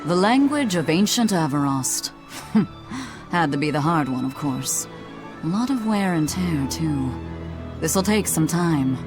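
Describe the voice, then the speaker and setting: A woman's voice: low voice, lively intonation, slow, music in the background. An actress playing a sly, wry, mysterious woman in a video game, with a haughty, teasing drawl. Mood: wry, composed. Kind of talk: English game voice acting.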